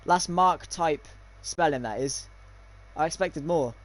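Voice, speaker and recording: High-pitched young man commentating on sim racing. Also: slow. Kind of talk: live esports race commentary with casual chat.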